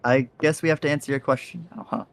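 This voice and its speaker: A man's voice: mid-range voice, quick pace, creaky, natural voice. A man playing an awkward, friendly ghost in an audio drama, speaking in a hesitant, casual way. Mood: hesitant, explaining. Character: awkward, gentle, friendly male faceless ghost.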